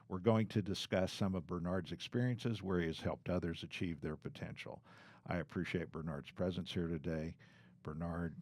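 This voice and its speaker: An older man hosting an interview podcast, speaking in a measured, formal way. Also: low-pitched, a little mellow, no room sound. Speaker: podcast host, law firm chair.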